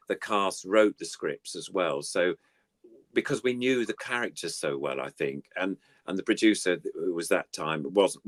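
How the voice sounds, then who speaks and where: Older English male, low register, dry sound; chatty, rambling storyteller in a relaxed interview. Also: no room sound. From United Kingdom. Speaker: puppeteer, interview guest. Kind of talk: casual reminiscing chat.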